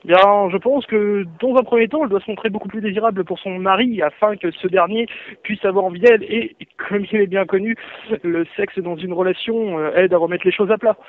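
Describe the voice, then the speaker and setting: A man with a high voice heard through a thin phone line. He answers courteously and at length, in wordy improvised sentences delivered with a deadpan seriousness. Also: brisk. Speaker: prank call recipient. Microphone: telephone. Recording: band-limited phone line.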